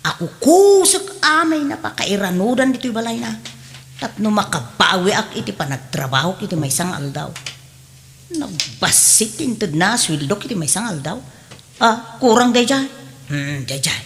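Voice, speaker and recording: A woman's voice: lowish voice, lively intonation, moderate pace, fairly bright tone. A woman playing a sassy, lazy, thieving housemaid in an Ilocano radio drama, with a whiny, exaggerated delivery and a Filipino accent. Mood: sly, scheming. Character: brash, lazy, thieving young housemaid who talks back. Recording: long hall reverb.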